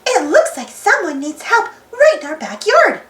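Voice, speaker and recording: An eager little guinea pig hero from a cartoon storybook, acted out by a woman, very high and swooping. The leader talks straight to the child, encouraging and instructing, in a noticeably roomy sound. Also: wildly swooping pitch, moderate pace, a bit bright, heavily acted. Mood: eager, helpful.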